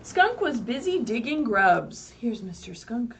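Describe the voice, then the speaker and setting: A woman reading aloud expressively at a children's story time, pausing to put simple questions to her young listeners. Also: fairly high pitch, big pitch swings, a bit bright. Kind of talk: animated read-aloud with asides to young children. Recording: distant mic, faint background noise, livestream.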